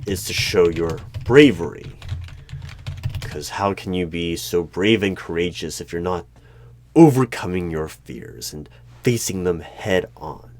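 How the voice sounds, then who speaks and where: A man playing a creepy, skeptical hiring manager in a one-sided job interview roleplay, speaking in a deliberate, probing way. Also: sits low, darkish tone, slight background noise. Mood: measured probing. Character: creepy, skeptical male hiring manager of a mysterious secret organization. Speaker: roleplay performer.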